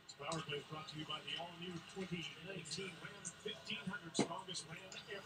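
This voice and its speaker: Fast TV hockey announcer from afar. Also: male voice, fairly high pitch, steady tone, distant mic. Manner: quick broadcast delivery. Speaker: TV play-by-play announcer. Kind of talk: broadcast hockey commentary. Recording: broadcast heard through speakers, noisy.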